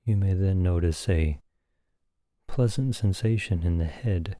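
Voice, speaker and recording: A man leading a guided meditation as a teacher, speaking calmly and gently in short phrases with long pauses. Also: sits low, dry recording, studio quality.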